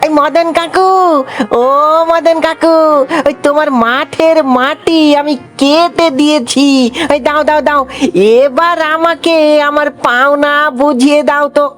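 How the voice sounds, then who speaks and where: A woman plays an excitable, naive male fox for a cartoon. The voice is high and whiny, chattering eagerly and repeating words. Light music plays behind it. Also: a little slow. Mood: eager, pushy. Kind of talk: Bangla cartoon voice acting.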